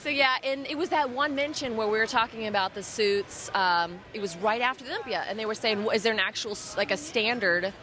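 Young female interviewee, playful and jokey, bright edge, handheld mic in busy hall. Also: on the high side, slight background noise. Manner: playful, joking, quick to laugh. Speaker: bikini competitor guest. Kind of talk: casual expo interview. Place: busy expo hall, indoors. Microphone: handheld mic held by interviewer.

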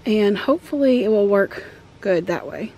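A woman in her thirties talks casually to her camera out on a porch, in a medium-pitched voice. She is informal and a bit self-deprecating, like a home vlogger chatting to viewers. Outdoor ambient noise sits faintly behind her. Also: lively intonation, normal speed, warm tone. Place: outdoors on a porch and wooden ramp.

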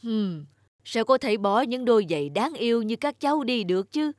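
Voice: mid-range voice, expressive, moderate pace, faint music behind, natural voice. An actor voicing a kindly but stern old grandfather clock in a cartoon, speaking like a protective uncle. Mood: indignant. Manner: avuncular, declarative. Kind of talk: cartoon dubbing.

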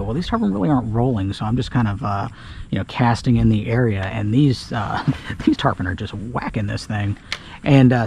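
A man casually talking through a fishing trip from his kayak on a creek, relaxed and full of filler words, recorded on an action camera outdoors. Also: lowish voice. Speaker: fishing guide. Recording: outdoor camera mic, audible background noise.

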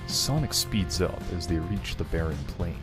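A man's voice: sits low, lively intonation, normal speed, over loud music. A man narrating a fan fiction screenplay in an amateur reading.

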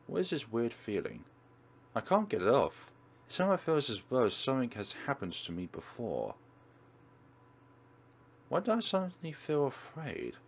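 A man's voice: medium pitch, huge pitch swings, normal speed, creaky, pauses often. A man hosting a let's play, dramatically reading a visual novel aloud and commenting on it.

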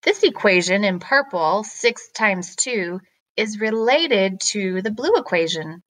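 A woman, a math teacher, explaining step by step to children in a deliberate, questioning way. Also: medium pitch, animated, a bit bright.

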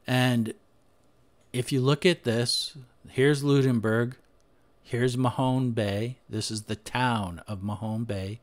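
A man presenting history research over slides, speaking off the cuff with frequent pauses, "uh"s and restarts. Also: medium pitch, fairly even intonation. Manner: unscripted, thinking aloud with frequent "uh" and restarts. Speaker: history podcaster. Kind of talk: screen-share presentation, talking over slides.

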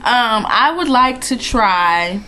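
A woman's voice: on the high side, lively intonation, slow pace, heavy background noise. A thirty-year-old woman chatting casually through a nail tag video from her living room, with plenty of ums. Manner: chatty, laughs often, many ums. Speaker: nail vlogger. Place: living room at home, indoors. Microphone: webcam in front of her.

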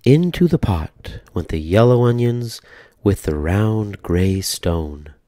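A man reads a children's story aloud in a low, mellow voice. His delivery is expressive and rhythmic, with animated intonation. Each phrase is placed deliberately. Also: no room sound, studio quality. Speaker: storybook narrator. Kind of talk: children's read-aloud, scripted narration.

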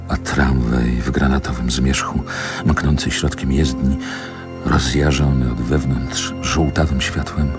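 An actor playing a brooding grown man who lyrically recalls a rough city childhood, with measured, deliberate phrasing in a poetic radio drama. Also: rumbling low, exaggerated voice. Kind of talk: poetic radio play monologue. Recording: music under the voice.

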